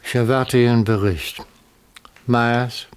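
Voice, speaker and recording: An actor playing a cold syndicate boss with a curt, formal, commanding manner, in a 1960s German radio play. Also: male voice, medium pitch, steady tone, slow pace, clear, resonant tone, natural voice.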